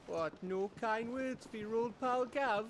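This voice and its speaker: A man's voice: very high-pitched, unhurried, slight background noise. An actor playing a cheeky, foul-mouthed male spy, chatty and joking with an old friend.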